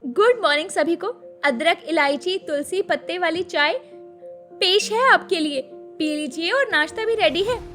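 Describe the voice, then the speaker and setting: A woman's voice: extremely high pitch, a little slow, sharp tone, soft background music, full character voice. A woman playing a hardworking, harried young daughter-in-law in a dramatised family story, exclaiming theatrically. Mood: cheerful, dutiful. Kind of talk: dramatised Hindi moral-story acting.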